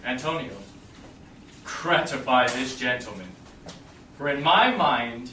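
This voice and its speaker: Guy playing bossy but courteous shakespeare duke, forceful, echoey stage recording. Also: mid-range voice, expressive, takes it slowly. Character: commanding, courteous Duke presiding over court. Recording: distant echoey small theatre, background noise.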